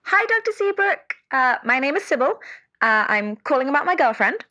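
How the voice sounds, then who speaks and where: A woman's voice: high voice, big pitch swings, normal speed, bright, forceful tone, heavily acted. A woman playing an anxious, devoted caller to a radio advice show in an audio drama, hesitant and earnest. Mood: earnest, flustered. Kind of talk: scripted audio drama phone-in.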